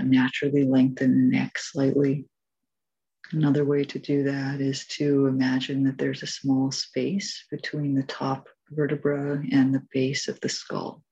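A woman leading a guided meditation as its teacher, giving gentle, measured instructions with long pauses. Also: rumbling low, slightly rough, highs cut off.

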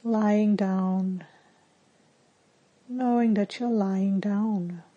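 A woman meditation teacher leading a guided meditation in a calm, gentle, soothing manner with long pauses. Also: medium pitch, lo-fi sound.

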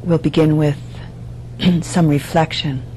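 Slow, low-voiced woman guiding meditation. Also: level tone, warm tone, a little hiss or hum, rough recording. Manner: measured, deliberate phrasing with frequent pauses. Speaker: meditation teacher. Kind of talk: guided meditation, dharma talk.

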